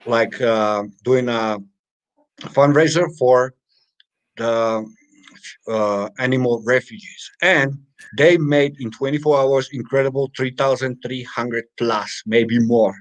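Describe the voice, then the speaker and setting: A man's voice: mid-range voice, slow pace. A man co-hosting a casual live stream, chatting loosely and reading viewers' comments aloud with plenty of fillers.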